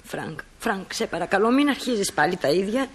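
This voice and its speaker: A woman's voice: medium pitch, expressive, husky. A woman playing a practical, fussing middle-aged mother and wife in a radio play, with a matter-of-fact, scolding manner. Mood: resigned.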